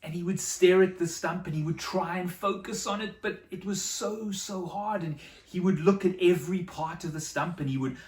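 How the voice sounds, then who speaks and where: A storyteller telling a Bible story to children, with dramatic pauses and repetition for emphasis. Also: medium pitch, darkish tone. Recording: echoey room, distant microphone.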